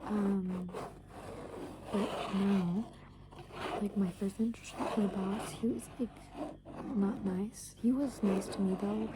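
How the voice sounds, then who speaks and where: A young adult woman rambles in a breathy ASMR whisper, hesitating and leaning on filler words as she goes. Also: mid-range voice, fairly even intonation, slow, long pauses. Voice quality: breathy, mostly unvoiced whisper. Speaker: ASMR video creator. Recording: clear background noise.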